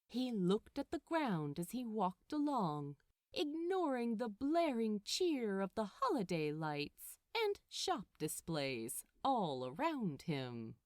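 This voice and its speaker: A woman narrating fiction aloud in a dramatic, expressive way with deliberate phrasing. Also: medium pitch, no echo, clean studio sound. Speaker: podfic narrator. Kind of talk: expressive scripted story narration.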